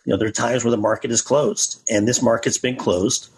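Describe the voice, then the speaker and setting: A man co-hosting, talking in a measured, reflective way and building an extended analogy. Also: mid-range voice, fairly even intonation, breathy edge. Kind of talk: measured conversational commentary.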